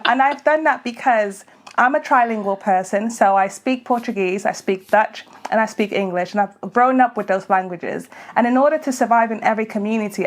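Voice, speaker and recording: A woman talking animatedly in a candid podcast conversation, laughing easily. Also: mid-range voice.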